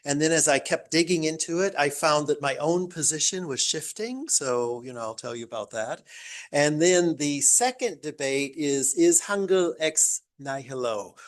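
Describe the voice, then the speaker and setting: An older American man giving a chatty academic lecture to a live audience, with joking, self-deprecating asides. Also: a little high. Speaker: guest lecturer. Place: lecture room.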